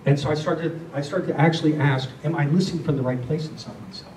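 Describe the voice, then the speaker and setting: Mellow man speaking in a roomy space. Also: on the high side, steady tone. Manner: earnest, deliberate, with repeated phrases. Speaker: spiritual speaker. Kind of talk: reflective spiritual talk to a group. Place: room with a listening group. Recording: roomy, distant mic, faint noise.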